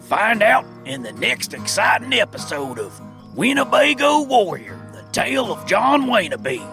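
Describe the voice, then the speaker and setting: A folksy, wry American western storyteller played by a man, high in pitch and animated, narrates a comic audio drama over plucked guitar music. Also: moderate pace, heavily acted. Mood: dramatic.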